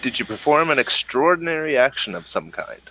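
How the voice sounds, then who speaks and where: A man's voice: medium pitch, sing-song, fairly quick, bright, forceful tone, rough recording. A man acting as game master, asking players questions in a tabletop game wrap-up. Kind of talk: running end-of-session tabletop game recap.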